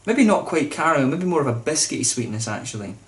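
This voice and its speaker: A man's voice: a little high, sing-song, fast talker. A man, chatting casually to camera as he reviews a beer, with lots of fillers and restarts. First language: English.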